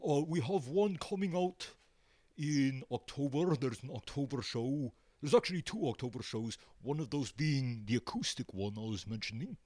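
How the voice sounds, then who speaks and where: A man answering casually as a musician being interviewed, hesitant and pausing often. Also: mid-range voice, expressive, a little mellow, no echo. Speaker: band member, interview guest.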